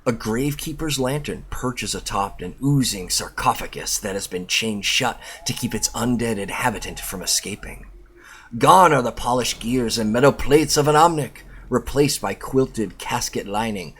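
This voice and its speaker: A man with a slightly rough, husky voice sitting at an average male pitch. He reads an article aloud as the host of a video, casual in delivery, and tends to interrupt himself with informal, sweary remarks. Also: fairly bright tone. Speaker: YouTube host and reviewer.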